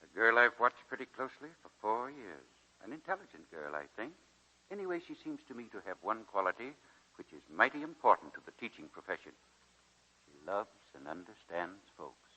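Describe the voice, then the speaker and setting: An actor playing a dignified, kindly college president with a measured, folksy oratorical manner in a 1941 radio drama. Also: male voice, lowish voice, big pitch swings, sharp tone, exaggerated voice, rough recording. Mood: wry, kindly. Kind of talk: 1940s radio drama acting. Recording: 1941 radio broadcast recording.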